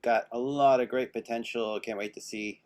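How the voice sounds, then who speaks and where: A man attending an online meeting, asking casual questions in a measured, slightly hesitant manner. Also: medium pitch, steady tone, a little mellow.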